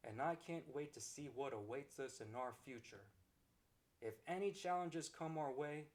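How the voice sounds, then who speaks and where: A man's voice: mid-range voice, natural voice. A man voicing a kind-hearted, accepting boyfriend in a romantic ASMR roleplay, speaking in a tender, earnest and reassuring way. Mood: tender, devoted. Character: kind-hearted, devoted, accepting boyfriend comforting his girlfriend.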